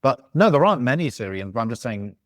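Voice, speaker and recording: A man chatting casually as a podcast guest, thinking aloud with halting restarts. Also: medium pitch, big pitch swings, fairly bright tone, no echo, clean studio sound. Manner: thoughtful, halting, many restarts. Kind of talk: casual chat. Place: living in Algiers.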